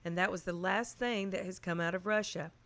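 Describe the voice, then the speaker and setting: Sober, lowish-voiced radio newswoman played by a woman. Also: fairly bright tone. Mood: grave, factual. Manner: measured, impersonal. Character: sober female radio newsreader reporting a global collapse. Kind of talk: formal news bulletin in an audio drama.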